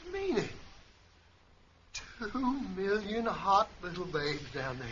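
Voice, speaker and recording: Guy playing drunk lecherous astronaut bully in a radio play, slow slurry boasting, high voice. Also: big pitch swings, exaggerated voice. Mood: lecherous excitement. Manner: leering, boastful, coarse. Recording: dull lo-fi recording, faint noise.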